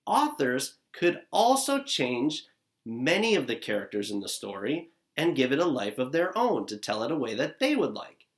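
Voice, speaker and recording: Upbeat teacher man, lively pitch. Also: moderate pace. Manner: upbeat, encouraging, speaking straight to children. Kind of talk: recorded lesson for young children. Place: living room at home, indoors. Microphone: camera at desk distance, seated facing it.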